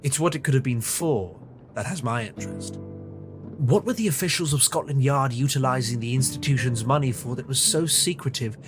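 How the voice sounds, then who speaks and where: A man's voice: medium pitch, brisk, close to an everyday voice. Guy doing a victorian detective, dry n precise, bit theatrical, mid-range voice, radio play with music under. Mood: analytical, serious. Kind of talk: audio drama acting.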